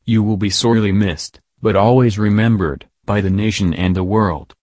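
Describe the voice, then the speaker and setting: A man with a fairly low voice reads scripted news narration. His delivery is even and uninflected. The recording is dry and close, with no room sound. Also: moderate pace, no echo.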